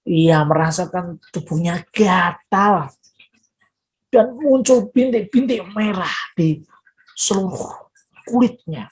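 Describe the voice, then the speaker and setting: A woman's voice: on the low side, takes it slowly, poor audio. A woman storyteller narrating expressively for children, with dramatic phrasing and direct address to her young listeners.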